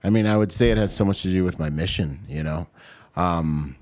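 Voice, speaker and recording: Deep-voiced reflective man from the Bahamas. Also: fairly quick, darkish tone, no echo. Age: 30s. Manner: reflective, affirming, says "yeah" often. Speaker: podcast co-host. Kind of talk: casual podcast conversation.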